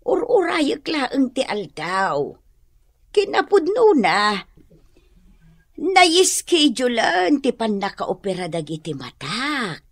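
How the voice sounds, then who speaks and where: A woman acting a gentle middle-aged mother in a radio drama, with an Ilocano accent. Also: fairly high pitch, big pitch swings, slow pace, dry recording, studio quality, heavily acted. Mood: earnest, hopeful. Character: gentle ailing mother awaiting eye surgery.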